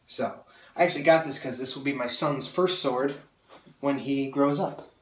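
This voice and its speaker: A man's voice: medium pitch, moderate pace, fairly bright tone. Young man, slightly nasal from a cold, rambling and enthusiastic, camera a few feet off, roomy echo. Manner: enthusiastic, rambling, many fillers and restarts. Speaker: sword collector reviewing blades. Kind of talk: casual video review. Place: small room full of swords, indoors. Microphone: camera mic from several feet away. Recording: roomy echo, mic at a distance.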